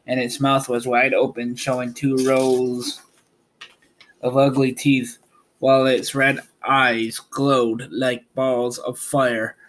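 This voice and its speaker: A man narrating a storybook aloud as host, reading in a halting way with stumbles. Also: medium pitch, level tone, a bit bright.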